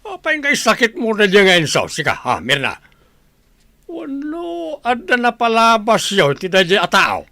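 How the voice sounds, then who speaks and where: A man's voice: high voice, huge pitch swings, moderate pace, sharp tone, dry recording, studio quality, full character voice. A man with an Ilocano accent playing a defiant, scheming, greedy villager in a radio drama. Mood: irritated.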